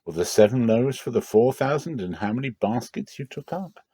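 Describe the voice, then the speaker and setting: A man's voice: mid-range voice, animated, normal speed, mellow, husky, dry recording, voice pushed up. A man voicing a stern, authoritative male teacher who instructs emphatically, in a dramatic scripture reading. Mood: exasperated reproof.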